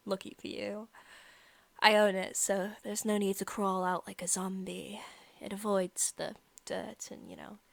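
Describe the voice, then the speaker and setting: A woman playing a possessive, sadistic young vampire in a softly spoken ASMR roleplay, teasing and casually menacing, at times slipping into whispers. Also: mid-range voice, fairly even intonation, unhurried, vocal fry, no room sound. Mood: casual, amused.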